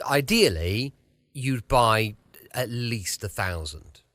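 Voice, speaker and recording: Casting: chatty British male host for a podcast intro, mid-pitched, highly animated, jokey and informal. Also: takes it slowly, no echo. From southwest of the United Kingdom.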